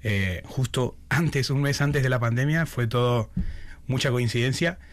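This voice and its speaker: A man's voice: mid-range voice, a little slow. A young man from Argentina speaking casually as an athlete being interviewed. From Buenos Aires, Argentina. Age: young adult, about 19. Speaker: athlete guest, shot putter.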